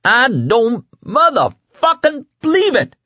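A man's voice: on the high side, big pitch swings, moderate pace, fairly bright tone, slightly rough, no echo, lo-fi sound, voice pushed up, exaggerated voice. A man voicing a manic, swaggering young bully in an audiobook, cursing, boastful and explosively bad-tempered. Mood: furious. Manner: screaming, cursing, boastful and patronizing.

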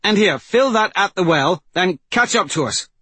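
Man doing a brisk, wry warrior, pitched up. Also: big pitch swings, bright, forceful tone, slightly rough, no echo, full character voice. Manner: brisk, matter-of-fact, wry. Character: ready, practical male warrior with wry humour.

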